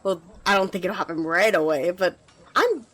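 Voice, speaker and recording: A woman's voice: fairly low pitch, animated, moderate pace, fairly bright tone. A woman playing an eager, earnest, slightly nervous magical squire in an ASMR roleplay, talking to the listener in a hesitant, rambling, reassuring way. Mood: friendly, reassuring.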